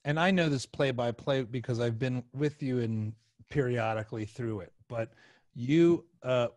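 Halting male podcast host, dry close sound. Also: medium pitch, a little mellow, no echo. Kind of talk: casual conversational interview. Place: small home studio room, indoors. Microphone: close podcast microphone on a video call.

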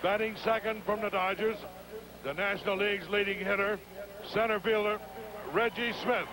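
High-voiced stadium announcer over roaring crowd. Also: male voice, steady tone. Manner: slow, deliberate, drawn-out pauses between names. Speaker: stadium public address announcer. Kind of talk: player introductions over stadium PA. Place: outdoor ballpark stadium. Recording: stadium PA with loud crowd noise, 1980 broadcast. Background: loud crowd.

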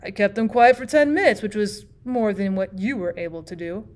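A woman's voice: mid-range voice, expressive, moderate pace, close to an everyday voice. A woman reading the part of an unflappable bachelor storyteller, matter-of-fact and prompt in a storybook.

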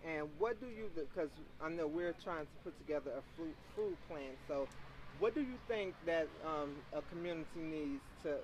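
A woman interviewer asks friendly, loosely worded questions outdoors. She is a bit off the mic, and clear background noise sits under her voice. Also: deep voice, lively intonation, moderate pace, darkish tone. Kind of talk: casual street interview. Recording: noisy outdoor recording, mic off-axis.

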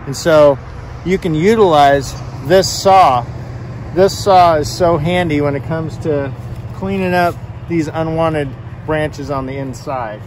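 An older man with a fairly high voice, chatting outdoors about a tool in a friendly, rambling way. He often stumbles and repeats words. Faint outdoor noise sits behind his voice on the camera mic. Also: slow pace. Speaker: vlogger reviewing a tool. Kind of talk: casual product demo. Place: outdoors on a farm field.

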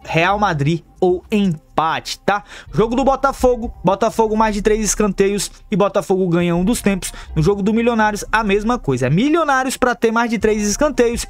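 A Brazilian-accented man with a notably high voice runs through football bets over a screen recording. He talks in a quick, chummy way, calling his viewers "family" and "the crew". Soft music hums quietly behind him. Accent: Brazilian Portuguese. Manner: upbeat, chummy, calls viewers "rapaziada" and "família". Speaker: football betting tipster.

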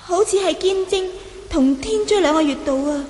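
Very high-voiced timid young nun. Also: female voice, normal speed, darkish tone. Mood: anxious. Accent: Hong Kong Cantonese. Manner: hesitant, anxious, pleading. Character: timid, innocent young Buddhist nun, gentle and anxious. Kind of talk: Cantonese radio drama acting. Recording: faintly noisy 1980 radio drama.

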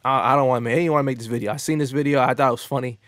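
Young guy hosting his channel, mid pitch, dry close mic, mocking and exasperated. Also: very fast, no echo. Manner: mocking, exasperated, animated asides. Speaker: host. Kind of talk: casual commentary reacting to clips. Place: bedroom studio, indoors. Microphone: studio mic, headphones on.

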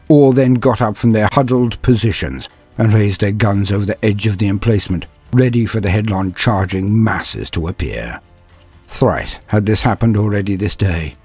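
A man's voice: on the low side, mellow, husky. A man narrating scripted fiction and lore in a theatrical, grandiose storyteller manner.

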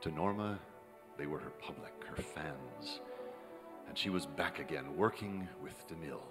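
A man's voice: a bass voice, animated, normal speed, over loud music. An actor playing a wry, cynical middle-aged man narrating his own murder in a radio noir drama. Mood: somber. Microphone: vintage-style stand microphone on stage. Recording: live theatre, long hall reverb, audience.

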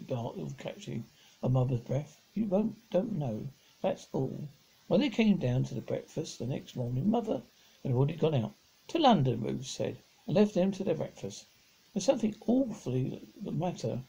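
A middle-aged man reads a children's book aloud in a rough, creaky voice. His pitch swings up and down in a lively way, and the tone is dark and mellow. He reads haltingly, tripping over words and starting them again. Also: poor audio. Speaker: amateur storybook reader. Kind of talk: reading a children's novel aloud. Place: indoors at home, seated on a sofa.

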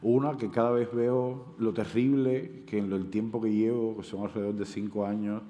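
Cuban man, dark voice, hall reverb. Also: on the low side, moderate pace. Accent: Cuban Spanish. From Cuba. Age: 60s. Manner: animated, gestures widely, many hesitations. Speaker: panel speaker. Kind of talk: impassioned panel debate. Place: stage of a large auditorium. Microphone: headset mic.

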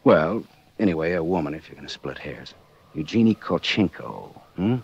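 Deep-voiced hardboiled American private eye. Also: male voice, big pitch swings, moderate pace, creaky, slightly rough, exaggerated voice. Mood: cool, businesslike. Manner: sardonic, clipped, world-weary. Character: wry, hardboiled male private detective. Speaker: actor and narrator in a radio drama. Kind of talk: 1940s radio acting, hardboiled detective narration. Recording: dull 1940s radio recording.

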